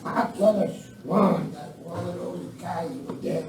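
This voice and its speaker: A woman's voice: lowish voice, measured pace, darkish tone. Woman acting a bumbling outlaw henchman, echoey. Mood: flustered, defensive. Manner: stammering, flustered. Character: bumbling, nervous henchman outlaw, flustered by the boss. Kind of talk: Western radio play acting. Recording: echoey hall, distant mic, faint noise.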